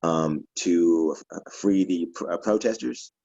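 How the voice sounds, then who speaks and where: A man's voice: sits low, unhurried, gravelly, dark tone, no room sound, highs cut off. A man speaking as a protest organizer on an online panel, matter-of-fact and listing demands with some restarts. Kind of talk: panel conversation. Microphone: video call.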